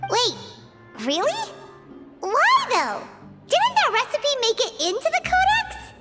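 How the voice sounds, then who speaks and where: A woman's voice: very high voice, wildly swooping pitch, takes it slowly, loud music behind the voice, heavily acted. A woman voicing a tiny, childlike fairy companion in a video game, chirpy, curious and exclamatory. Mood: surprised. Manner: refers to self in third person, exclamatory. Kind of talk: animated video game voice acting.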